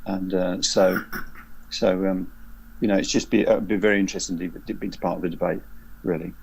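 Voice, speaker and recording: A man's voice: deep voice, little pitch movement, fairly quick, vocal fry, darkish tone, a little hiss or hum, poor audio. A man in his fifties from southeast England, speaking hesitantly on a video call panel with many fillers. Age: 50s. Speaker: panelist, church member.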